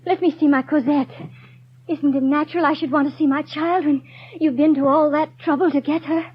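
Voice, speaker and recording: Sick, desperate young mother , female performer, high pleading voice, 1930s radio melodrama, muffled and hissy. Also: steady tone, fairly quick, natural voice. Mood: weak pleading. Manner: pleading, breathy whispers rising to frantic cries. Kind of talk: 1930s radio drama acting.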